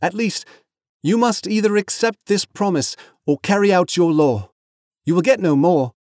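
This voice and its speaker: A man voicing an earnest, loyal, gentle young hobbit from a storybook, pleading in formal phrases. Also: high voice, normal speed, no echo, clean studio sound, pitched above the performer's own voice. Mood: earnest, firm.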